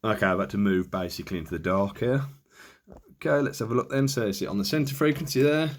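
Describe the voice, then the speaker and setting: Male presenter, low voice, off-the-cuff and tentative, talking through equipment informally. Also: quick pace. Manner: hesitant, tentative, thinking aloud. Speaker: presenter of a radio equipment demonstration. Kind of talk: casual unscripted hands-on demonstration.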